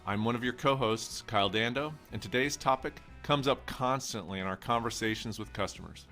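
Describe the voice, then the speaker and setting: A man's voice: mid-range voice, a little fast, pushed and bright, soft background music. A man co-hosting a tech podcast, upbeat and friendly as he introduces and questions a guest. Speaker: podcast co-host.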